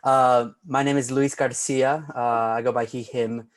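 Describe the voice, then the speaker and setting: A man's voice: mid-range voice, little pitch movement, measured pace, no room sound. A man with an American accent introducing himself casually as a panelist in a roundtable. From Orlando, Florida, United States. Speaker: roundtable panelist, lighting and video designer.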